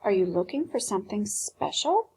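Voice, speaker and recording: A woman's voice: mid-range voice, sing-song, normal speed, dark tone, husky, heavily acted. A woman voicing a kindly male doll-shop keeper in a storybook, fond and courteous.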